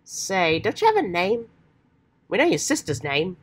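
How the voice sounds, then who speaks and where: A woman voicing an earnest, excitable, old-fashioned adventurous teenage boy with hammy, folksy slang, while getting over a cold. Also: mid-range voice, measured pace. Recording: faint background noise.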